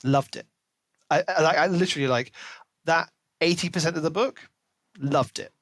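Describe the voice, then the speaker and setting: A man co-hosting a casual book podcast, giving opinions in an animated, emphatic way with sarcastic asides. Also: a little high, moderate pace, no echo, clean studio sound. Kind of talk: casual book review chat.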